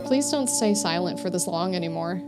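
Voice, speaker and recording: A woman's voice: lowish voice, expressive, normal speed, lightly acted. A woman voicing a troubled, needy young narrator of a psychological horror visual novel, reading in an introspective, pleading way. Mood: pleading, anxious. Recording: music behind the voice.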